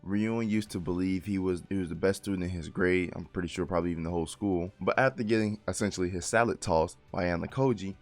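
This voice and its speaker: A man who just woke up, his voice deeper and gravellier than usual, casually reviewing a light novel in a rambling, informal way. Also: fast, few pauses, faint music behind. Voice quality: gravelly morning voice. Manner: rambling, self-correcting, informal. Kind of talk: casual spoken book review.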